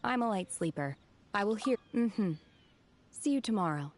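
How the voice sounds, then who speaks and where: A woman's voice: mid-range voice, lively intonation, moderate pace, darkish tone, slight background noise, close to an everyday voice. A woman voicing a reserved, solemn young woman in a video game, with formal, restrained speech. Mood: subdued, reserved.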